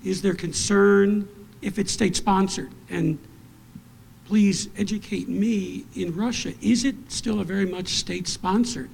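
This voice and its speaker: A man's voice: high voice, steady tone, measured pace, vocal fry, darkish tone, slight background noise. A man moderating a panel discussion, asking inquisitive questions with hesitant restarts.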